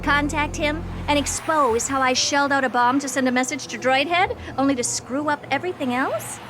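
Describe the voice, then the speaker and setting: A woman voices a proud genius scientist from a video game, high-pitched and bluntly dismissive. Music plays behind her. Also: normal speed, lightly acted. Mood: haughty. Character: haughty self-declared genius scientist woman.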